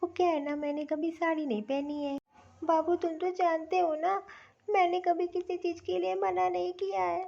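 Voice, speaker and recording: A woman's voice: extremely high pitch, little pitch movement, normal speed, creaky, mellow. A woman playing a spoiled, scheming young city daughter-in-law in a Hindi family cartoon, with a whiny, bossy manner. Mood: whiny pleading. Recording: dull, lo-fi recording.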